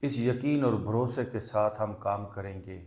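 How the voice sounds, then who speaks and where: Slow-speaking man guiding meditation, echoey sound. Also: fairly low pitch, a little mellow. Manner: deliberate short phrases with long pauses; mixes English words into Hindi. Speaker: yoga and meditation teacher. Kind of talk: guided meditation instruction. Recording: echoey, reverberant room sound.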